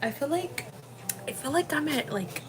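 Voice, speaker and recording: A woman's voice: sits high, lively intonation, normal speed, a crowd in the background. Rambling young woman on a livestream. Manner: rambling, full of fillers, slips into Spanish words. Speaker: livestream host. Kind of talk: casual livestream chat.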